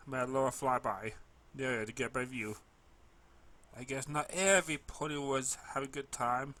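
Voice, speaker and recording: A man reads a first-person story as its narrator. His mid-range voice is bright and forceful, and he delivers the lines expressively, occasionally stumbling over a word. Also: mid-range voice, steady tone, a little fast.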